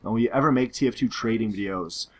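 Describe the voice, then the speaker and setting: A hoarse young man, a gaming YouTuber, casually answering viewer questions in a loose, rambling way full of fillers and restarts. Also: medium pitch, quick pace. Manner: rambling, filler-heavy, self-correcting.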